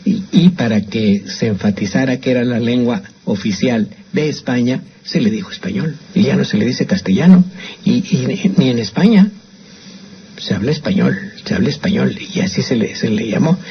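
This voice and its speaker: An older Mexican man talks in a loose, opinionated way over a slightly muffled radio line. His voice sits at an ordinary pitch. He often restarts his phrases, and a faint hiss runs underneath. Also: expressive, normal speed. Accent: Mexican Spanish. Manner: chatty, opinionated, with frequent restarts. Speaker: radio host and storyteller. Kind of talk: casual radio commentary.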